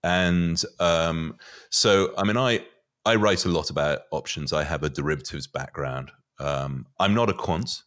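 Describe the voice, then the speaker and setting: A middle-aged man giving conversational market commentary as a guest, often halting and repeating words as he thinks. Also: very deep voice, fairly bright tone. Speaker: podcast guest, finance commentator. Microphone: podcast mic on boom arm.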